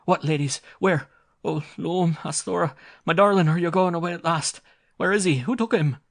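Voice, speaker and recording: Man putting on a distraught Irish peasant mother, older widow, raised wailing voice, gravelly edge, storybook reading. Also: medium pitch, normal speed, a bit bright, pitched above the performer's own voice. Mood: frantic.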